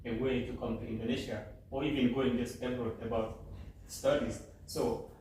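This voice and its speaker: A man's voice: mid-range voice, flat delivery, moderate pace, mellow, slight background noise. A young man from Guinea hosting a casual interview with friendly, chatty talk and many fillers. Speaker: host. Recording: very echoey room, distant mic.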